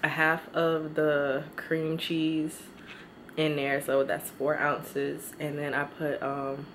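A woman's voice: low-pitched, completely flat delivery, takes it slowly. A woman in her twenties casually narrating her cooking in a small home kitchen, rambling with many ums, filmed on a handheld camera. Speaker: vlogger. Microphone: handheld camera, close and moving. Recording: noisy kitchen with frying sounds.

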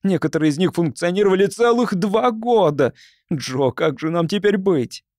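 A man's voice: high voice, animated, moderate pace, a little mellow, dry recording, clean studio sound, pitched above the performer's own voice. A man voicing a frightened, sickly scientist in an audiobook, speaking with alarmed insistence. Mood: frightened, agitated.

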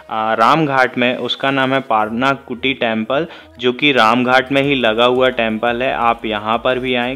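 A man's voice: mid-range voice, a bit bright. A man vlogging outdoors around riverside temples, chatting to viewers as friends and giving tips for visitors. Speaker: travel vlogger. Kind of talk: casual travel vlog narration.

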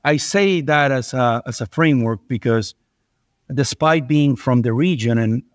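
A man's voice: mid-range voice, unhurried, no room sound. Venezuelan-born man, dry close sound. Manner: thoughtful, many restarts and repeated words. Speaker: guest investor. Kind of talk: conversational podcast interview.

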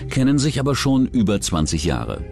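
A man narrating a scripted true-crime documentary in a measured, matter-of-fact manner. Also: mid-range voice, huge pitch swings, gravelly, a little mellow. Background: background music.

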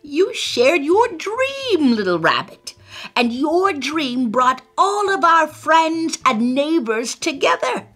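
A woman doing a high, tender grandma rabbit. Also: big pitch swings, slow pace, faint music behind, exaggerated voice. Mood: loving. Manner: high, gentle, coaxing. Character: warm elderly grandmother rabbit, loving and wise.

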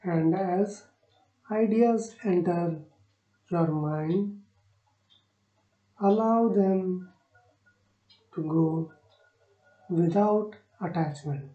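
A woman guiding a meditation in short, soothing phrases with long pauses between them. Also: fairly low pitch, highs cut off. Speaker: meditation guide. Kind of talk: guided meditation narration. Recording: roomy echo on the voice.

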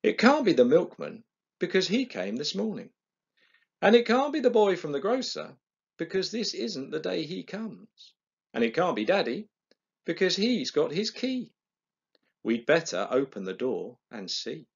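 Man as a hospitable young mother, storybook, polite, sing-song, raised pitch, lively swoops. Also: measured pace, creaky, breathy edge, lo-fi sound, exaggerated voice. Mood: puzzled. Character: kindly, hospitable young mother of a little girl.

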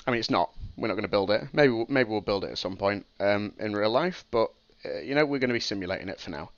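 A man's voice: fairly low pitch, animated, fast, no echo, highs cut off. A young man with a cold, sniffly as he explains a processor design on a casual live stream, thinking aloud with ums and restarts. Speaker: streamer teaching game programming.

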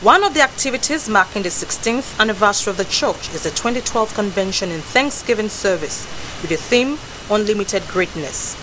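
A woman's voice: mid-range voice, animated. Nigerian woman reading news voice-over. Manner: measured, formal news reading. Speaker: news reporter. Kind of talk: scripted narration. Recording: voice-over with clear hiss.

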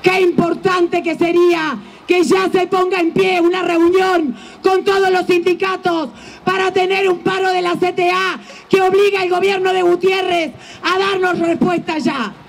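A middle-aged woman with an Argentine accent giving an impassioned, forceful rally speech outdoors into a handheld microphone, as a union leader. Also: very high voice, little pitch movement, normal speed, creaky. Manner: impassioned, forceful shouted oratory. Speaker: teachers' union leader and legislator. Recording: outdoor microphone with faint noise.

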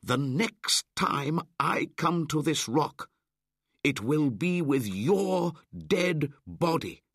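Guy doing a fierce jungle boy, high loud voice, dry close sound. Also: expressive, drawn-out words, vocal fry, no echo, full character voice. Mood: defiant. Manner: loud, high, forceful declaring. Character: young boy raised by wolves, fierce.

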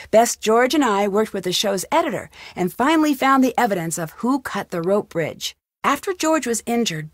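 An actress playing a young amateur detective in a video game, upbeat, caring and wry, with a friendly, lightly teasing delivery. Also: female voice, medium pitch, dry recording, clean studio sound, natural voice. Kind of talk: scripted video game voice acting.

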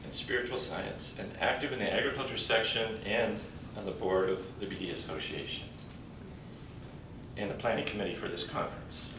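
An older man speaking at a podium in a large conference hall, introducing a colleague in a folksy, halting way with pauses. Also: steady tone. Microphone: podium mic, recorded from afar by a computer. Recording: distant echoey hall recording with background rumble.

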